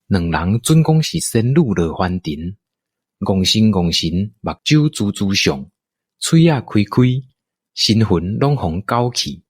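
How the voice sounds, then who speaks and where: A male narrator tells a radio-play story in a Taiwanese accent. His low, slightly husky voice moves in lively swings of pitch, close and dry. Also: takes it slowly, no room sound. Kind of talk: scripted radio drama storytelling.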